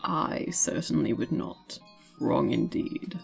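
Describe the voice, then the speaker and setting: A woman's voice: faint music behind, heavily acted. A woman voicing a sly, unimpressed storybook cat with a silky, drawling delivery. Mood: unimpressed, dry. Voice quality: smooth, low, silky. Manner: drawling, conversational, deliberate. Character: sly, unimpressed talking cat, smug and languid.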